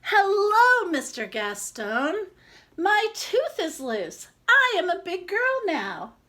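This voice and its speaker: A woman voicing an excited, proud little girl from a storybook, calling out in drawn-out exclamations. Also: very high-pitched, voice pushed up, full character voice. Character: excited proud little girl announcing her loose tooth.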